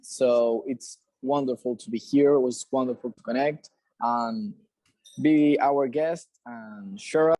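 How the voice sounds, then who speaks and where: A man's voice: mid-range voice, slow pace, mellow, no room sound, low-quality recording. A man with an Argentine accent, a native Spanish speaker, introducing himself casually at an online community meeting.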